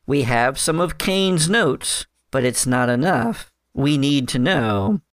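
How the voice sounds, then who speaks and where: A man voicing a calm male captor who questions a prisoner, pausing and hesitating with fillers. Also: mid-range voice, dry recording, clean studio sound. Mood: coolly insistent.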